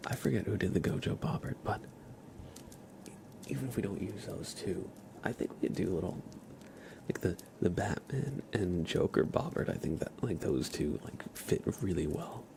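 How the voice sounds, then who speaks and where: A man hosting a casual ASMR livestream, speaking softly and informally, often slipping into a breathy whisper. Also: low-pitched, normal speed, background noise. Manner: relaxed, informal, uses y'all. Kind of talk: casual ASMR stream chat.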